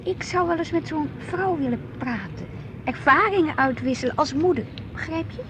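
A woman's voice: sits high, animated, moderate pace. An actress playing an inquisitive, earnest wife and mother in a naturalistic radio play, with a Netherlands Dutch accent. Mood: reflective. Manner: questioning, earnest. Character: inquisitive, reflective wife and mother, easily piqued at her husband. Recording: noisy outdoor street ambience with traffic.

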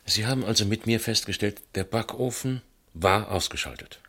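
Husky, probing police inspector. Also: male voice, on the low side, a bit bright. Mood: methodical. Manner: deliberate, hesitant fillers, reasoning aloud. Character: probing, methodical male police inspector questioning witnesses. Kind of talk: radio crime drama dialogue.

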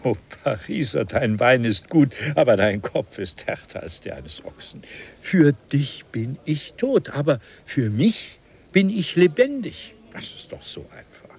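An actor playing a learned, self-assured traveller from another era in a radio play, speaking in a formal, declamatory manner. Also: male voice, medium pitch, big pitch swings, measured pace, vocal fry, darkish tone, husky, full character voice. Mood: impatient, animated. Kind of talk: German radio play acting. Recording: 1970s radio play with noise.

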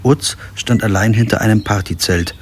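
An actor playing a wry, weary lawyer in a radio crime drama, narrating and speaking in a dry, laconic way. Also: male voice, lowish voice, moderate pace, a little hiss or hum, lightly acted. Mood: tense narration. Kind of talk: first-person radio drama narration and dialogue. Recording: music behind the voice.

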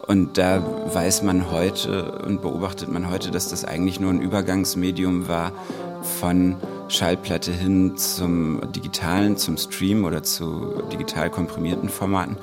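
A man's voice: deep voice, little pitch movement, unhurried, over music. A man, a writer and singer, talking reflectively in an interview and searching for his words.

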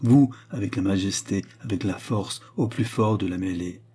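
A man's voice: sits low, moderate pace, dark tone, husky. A man voicing a grave, devoted nobleman in love with a queen, solemn and intense, in a dramatized historical novel. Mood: solemn devotion.

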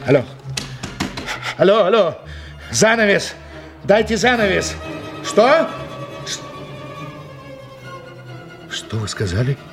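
An actor playing an excitable, theatrical man of the theatre in a radio play. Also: high voice, slow pace, pauses often, music in the background, exaggerated voice. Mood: alarmed, exasperated. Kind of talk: dramatic radio play acting.

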